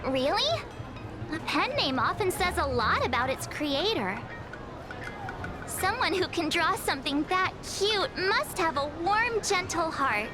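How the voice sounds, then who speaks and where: A woman playing a cheerful, excitable young girl traveler in a video game, with a bubbly, chatty and expressive delivery. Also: very high voice, measured pace, over music, full character voice. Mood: curious.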